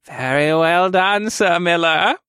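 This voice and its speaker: A man's voice: high voice, slow, sharp tone, smooth, dry recording, clean studio sound, voice pushed up, exaggerated voice. A man voicing a frail but spry old male quartermaster, amused, patient and instructive, in an audiobook. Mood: pleased. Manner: chuckling, instructive. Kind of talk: audiobook character dialogue.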